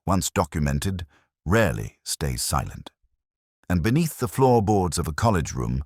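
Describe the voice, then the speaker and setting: A man narrating a first-person literary detective story in a measured, deliberate, brooding way, phrase by phrase. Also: sits low, a little mellow, breathy edge, no echo, clean studio sound.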